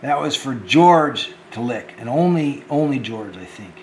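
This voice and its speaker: A man hosting a homemade children's show, talking gently and hesitantly to the kids and often repeating words. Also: medium pitch, animated, unhurried, echoey room, slight background noise, low-quality recording.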